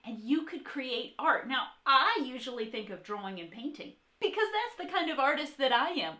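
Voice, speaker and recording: High, animated woman reading to children. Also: moderate pace. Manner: encouraging, expressive, addressing children directly. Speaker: children's art teacher. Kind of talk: children's read-aloud and talk to young kids.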